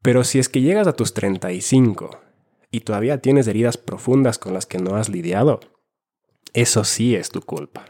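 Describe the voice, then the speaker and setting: A man in his twenties from Finland, co-hosting a casual podcast in a calm, dry way. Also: on the low side, moderate pace. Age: 20s. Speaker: podcast co-host. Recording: studio mic with guitar music behind. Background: soft guitar music.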